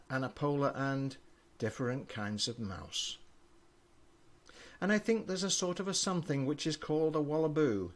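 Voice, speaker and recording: Older man, grandfather reader, expressive storybook delivery, medium pitch, children's verse. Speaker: grandfather reading stories to grandchildren. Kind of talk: reading children's poems aloud.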